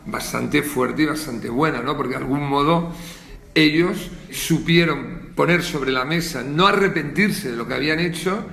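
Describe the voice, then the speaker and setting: Casting: male interviewee, middle-aged, bright gravelly voice, explanatory delivery, noticeable room echo. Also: on the high side, normal speed. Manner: explanatory, frequent tag questions. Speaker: interviewee, prosecution lawyer recalling a case. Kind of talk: reflective interview recollection. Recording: very roomy, echoey interview sound.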